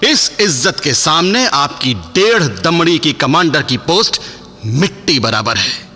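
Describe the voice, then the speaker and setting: An actor in a radio play voicing a proud, defiant young Indian soldier, declaiming with deliberate, impassioned patriotism. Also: male voice, fairly high pitch, very animated, sharp tone, slightly rough, heavily acted. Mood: proud, scornful. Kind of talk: radio drama acting. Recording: echoing hall, music underneath, radio play.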